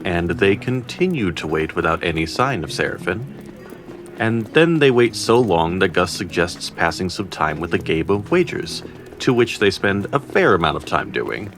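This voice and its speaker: A man's voice: low voice, very animated, normal speed. A man narrating a fantasy audio drama as a scripted storyteller, with conversational, playful asides. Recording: clear music bed under narration.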